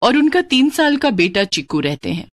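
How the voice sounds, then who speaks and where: A woman narrating a moral story with a clear, even, steady storyteller's delivery. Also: high-pitched, moderate pace, no echo.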